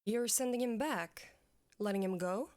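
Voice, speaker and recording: A woman's voice: medium pitch, unhurried, darkish tone, no room sound, clean studio sound, lightly acted. Woman acting as a frank, dutiful war commander. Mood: businesslike, questioning. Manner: formal, direct. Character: frank, dutiful female military commander advising her king. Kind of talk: audio fiction acting.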